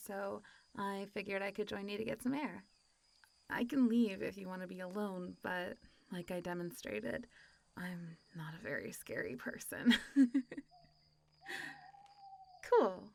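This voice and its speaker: A woman acts out a friendly zombie monstergirl, centuries old yet girlishly playful. Her voice sits at a medium pitch and stays conversational. She teases and rambles lightly, as in a roleplay audio made for a single listener. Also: vocal fry. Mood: friendly, chatty. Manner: chatty, teasing, frequent giggles and sighs, hesitant asides. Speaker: voice actor.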